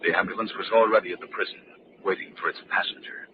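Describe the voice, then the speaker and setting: An actor playing a hesitant, uneasy scientist's assistant, grave and dramatic in old-time radio drama. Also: male voice, low voice, steady tone, fast talker, slight background noise, natural voice. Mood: grave, suspenseful. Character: uneasy, hesitant male assistant narrating a grim tale. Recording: muffled old radio recording, loud music.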